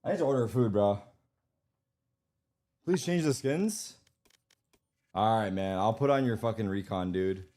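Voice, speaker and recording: A man's voice: lowish voice, animated, unhurried, pauses often, warm tone. A young man streaming, chatting casually with friends while setting up a game, swearing often. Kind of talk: casual chat while gaming.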